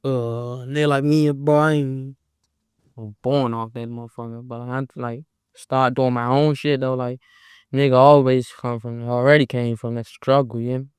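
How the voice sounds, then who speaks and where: Brief: smooth, resonant DC man, laid-back and slangy, dry close mic. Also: mid-range voice, little pitch movement, moderate pace, no room sound. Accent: Washington DC. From Southeast Washington DC. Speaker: rapper, guest. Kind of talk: casual interview chat.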